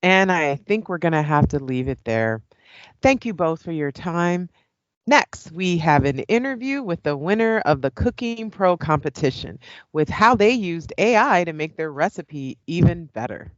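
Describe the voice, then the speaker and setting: A woman's voice: fairly low pitch, lively intonation, unhurried, no room sound, muffled recording. An actress playing a polite, professional female talk show host in an audio play. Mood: brisk, professional.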